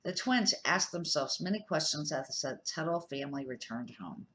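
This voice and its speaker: A woman's voice: deep voice, expressive, normal speed, a bit bright. An older woman reading a children's storybook aloud as a grandmother would, an amateur who now and then stumbles and corrects herself.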